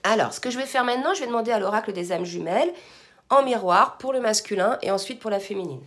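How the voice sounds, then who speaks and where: Hoarse woman reading cards. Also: medium pitch, fast talker. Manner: soft-spoken, addresses viewers with "d'accord?"; murmurs requests to her guides. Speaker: tarot card reader. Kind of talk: spiritual card reading to viewers.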